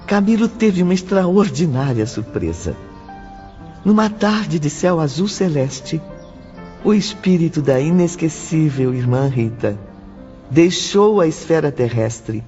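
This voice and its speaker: An actor as the solemn narrator of an audio drama, giving measured, literary scripted narration. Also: male voice, fairly high pitch, dark tone, muffled recording. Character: solemn storytelling male narrator of spiritual drama.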